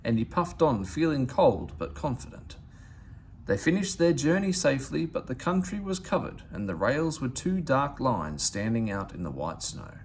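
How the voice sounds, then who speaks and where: A man reads a storybook for children with animated, varied intonation. His voice has a gravelly, creaky edge. There is faint noise in the recording. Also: medium pitch, moderate pace. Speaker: storybook reader. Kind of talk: expressive read-aloud narration for children.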